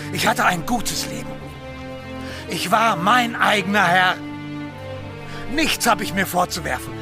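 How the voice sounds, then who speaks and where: Proud, scornful pirate, creaky voice. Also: male voice, high voice, exaggerated voice. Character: defiant, unrepentant condemned male pirate, proud orator. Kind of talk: historical radio play acting. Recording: music behind the voice.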